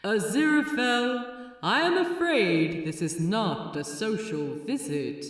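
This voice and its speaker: A woman voicing a cool, impatient seraph messenger of heaven, formal and commanding, with a soft lilt and dry mockery. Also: medium pitch, lively intonation, slow, very echoey, big-room sound, recorded from a distance. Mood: stern, formal.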